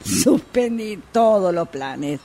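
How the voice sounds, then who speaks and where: Radio drama: actress as hard-luck, needy Argentine woman, aggrieved and dramatic, slow drawn-out delivery, lively pitch. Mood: dramatic, anxious. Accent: Argentine Rioplatense. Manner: theatrical, drawn-out storytelling. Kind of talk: radio play acting.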